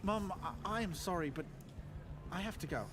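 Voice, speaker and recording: A man's voice: high voice, normal speed, very noisy, natural voice. An actor voicing an anxious, guilt-ridden young man in an audio drama, stammering and apologetic. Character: anxious young man, guilty, pleading, son of a fussy mother. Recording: loud music under the voice.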